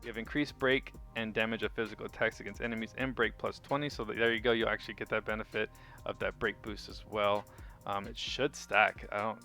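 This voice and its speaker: Male gaming guide host, lowish voice, casual commentary with an informal, think-aloud delivery, music underneath. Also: creaky, sharp tone.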